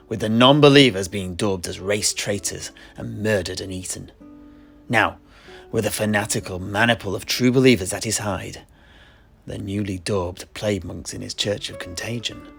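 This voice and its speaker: Man playing a low, gravelly tavern-keeper storyteller. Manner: theatrical, deliberate, with scholarly asides. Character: genial tavern keeper and amateur historian storyteller, measured and wry. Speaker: storyteller and host of an audio play. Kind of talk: in-universe scripted storytelling to tavern listeners.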